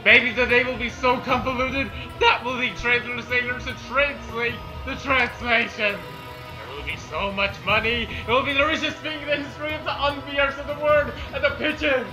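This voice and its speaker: A man's voice: very high-pitched, moderate pace, rough recording. A man doing comedic banter as a co-host, with a hammy, mock-villainous manner. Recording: faint music behind. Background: faint music.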